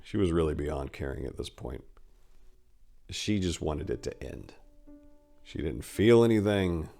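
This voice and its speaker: A man's voice: extremely low pitch, expressive, a little slow, gravelly, warm tone, faint music behind. A man giving a brooding, theatrical narration of a scripted story.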